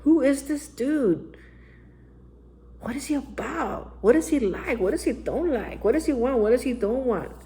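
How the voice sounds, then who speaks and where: A man's voice: extremely high pitch, expressive, a little slow, warm tone, slight background noise. A man giving a daily spiritual recovery reflection as a vlogger, talking earnestly and urging the listener on, often repeating himself and saying "man". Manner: earnest, exhorting, repeats phrases and says "man". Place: Austin, Texas area.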